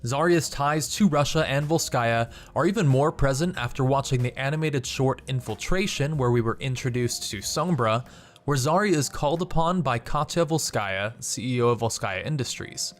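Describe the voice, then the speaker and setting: A man's voice: mid-range voice, animated, normal speed. A young man hosting a gaming channel, reading scripted video narration. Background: background music.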